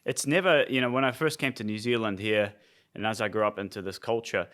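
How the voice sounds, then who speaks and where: A man's voice: lowish voice, brisk, a bit bright. A young adult man from Iraq co-hosting a podcast, explaining things conversationally with frequent fillers. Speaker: podcast co-host, nutrition coach. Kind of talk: casual podcast chat.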